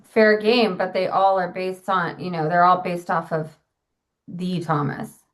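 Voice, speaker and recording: Slow-talking woman hosting a comedy show. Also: fairly low pitch, flat delivery. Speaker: show host. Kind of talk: casual banter.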